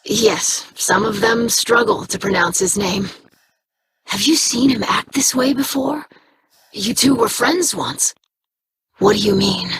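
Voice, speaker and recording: A woman's voice: medium pitch, slow, background noise, natural voice. A woman playing an earnest young female alien scribe in a video game, speaking formally and inquisitively.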